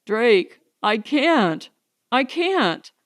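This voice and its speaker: A woman's voice: on the high side, sing-song, drawn-out words, heavily acted. A woman voicing a panicked, conflicted spaceman in an audiobook, with urgent, anguished exclamations.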